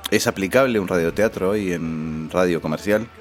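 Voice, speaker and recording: A man's voice: sits low, moderate pace. A man with an Argentine accent hosting a casual radio interview, reflective and often chiming in with agreement. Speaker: host. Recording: music bed behind the voice.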